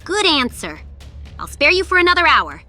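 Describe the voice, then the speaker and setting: A woman voicing a quick-witted, assertive young female cartoon animal, direct and expressive. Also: high-pitched, music in the background. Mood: haughty.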